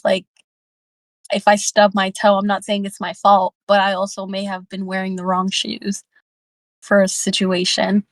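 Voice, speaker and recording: Female discussion participant, reflective and tentative, even-toned, dry recording. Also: mid-range voice, dry recording. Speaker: book club discussion participant and facilitator. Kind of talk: casual reflective group discussion.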